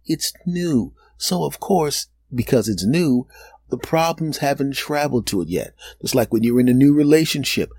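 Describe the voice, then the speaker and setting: A man in his fifties hosting a solo podcast, rambling and joking, laughing at his own jokes and dropping into low asides. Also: expressive, normal speed, darkish tone, breathy edge, dry recording, clean studio sound. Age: 50s. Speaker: podcast host. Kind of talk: solo comedic podcast monologue.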